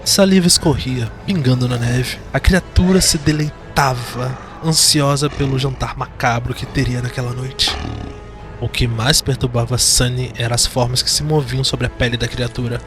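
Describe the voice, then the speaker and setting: Male narrator, Brazilian accent, mid-pitched. Dramatic scripted horror-fantasy storytelling over a music bed. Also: steady tone, moderate pace, fairly bright tone.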